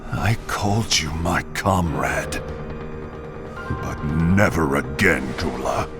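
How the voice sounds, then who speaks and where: A man's voice: rumbling low, takes it slowly. A man playing a proud, bitter warrior in a dramatic video game cutscene, brooding and emphatic. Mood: bitter, angry. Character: gruff, proud, bitter male warrior and comrade. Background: music.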